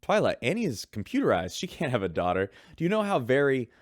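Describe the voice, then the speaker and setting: An actor playing a chatty, gossipy radio show host on a spaceship in an audio drama. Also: male voice, mid-range voice, huge pitch swings, fast talker, dry recording, studio quality. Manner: chatty, gossipy announcer delivery. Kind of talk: radio drama acting as a broadcaster.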